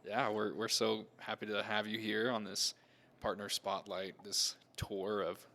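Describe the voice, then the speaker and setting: A man in his late twenties co-hosts the show with keen enthusiasm. His voice is bright and forward with some gravel underneath. He introduces the guest warmly and steers to the topic. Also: on the low side, little pitch movement, unhurried. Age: 20s. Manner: enthusiastic, laughs easily. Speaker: podcast co-host. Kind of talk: upbeat interview hosting.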